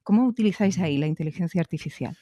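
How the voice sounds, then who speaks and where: A woman with an accent from Spain, interviewing conversationally and laying out her questions deliberately and inquisitively. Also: lowish voice, expressive, dark tone, no echo. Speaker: podcast interviewer.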